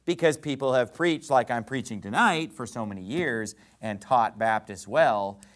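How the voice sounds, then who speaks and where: A pastor preaching an emphatic sermon in a church, asking the congregation rhetorical questions. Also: male voice, medium pitch, moderate pace, fairly bright tone.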